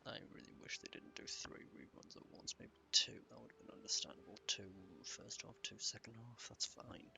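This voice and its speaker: A man's voice: fairly low pitch, fairly even intonation, normal speed, creaky, hardly any pauses, pushed and bright, in a whisper. A man giving casual, rambling video game commentary, half muttering to himself as he plays. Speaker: gaming streamer. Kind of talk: casual solo gameplay commentary.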